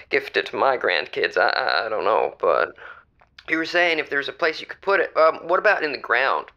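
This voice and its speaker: A man's voice: mid-range voice, big pitch swings, moderate pace, bright, forceful tone, highs cut off. A man playing a folksy, rambling, hesitant caller on a radio call-in show. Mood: sheepish, easygoing. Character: Jamie, folksy, rambling caller burdened with inherited tortoise. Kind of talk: improvised audio drama, call-in.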